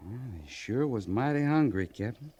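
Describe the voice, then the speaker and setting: A man's voice: mid-range voice, animated, normal speed, darkish tone, breathy edge. A man playing a folksy, plain-spoken cavalry sergeant with rustic grammar in a noisy old-time radio western. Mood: amazed, relieved. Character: folksy, rough-and-ready frontier cavalry sergeant.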